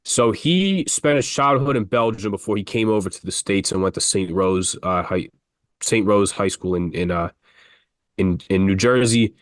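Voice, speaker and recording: A man with a fairly low voice chats casually as co-host of a basketball podcast. He rambles and restarts his sentences as he goes. The sound is dry, with no room echo. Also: moderate pace. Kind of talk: casual sports talk.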